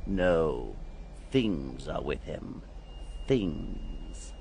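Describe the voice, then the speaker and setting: A man's voice: rumbling low, very animated, extremely slow, vocal fry, a little mellow, slight background noise, full character voice. An actor playing a mysterious, sinister older man who leads boys, speaking in a theatrical, commanding way in an audio drama. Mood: ominous.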